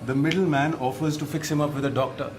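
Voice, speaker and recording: An actor playing a streetwise, grave local fixer who explains things tersely and matter-of-factly in an audio drama. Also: male voice, medium pitch, fairly even intonation, fast, noisy background, close to an everyday voice. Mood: grave, explaining.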